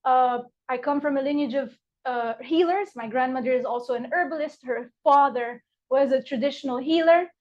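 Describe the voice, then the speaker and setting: A woman's voice: sits high, normal speed. A woman from Morocco, an herbalist, giving a heartfelt live online workshop with frequent fillers from her apothecary over a video call. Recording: dull lo-fi video call audio.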